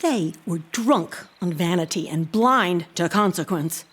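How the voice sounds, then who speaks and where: An actress playing an earnest, proud housekeeper who tells a haunting tale in a deliberate, expressive way. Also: female voice, fairly low pitch, breathy edge. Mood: wry, disapproving. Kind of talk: dramatic audio-drama storytelling.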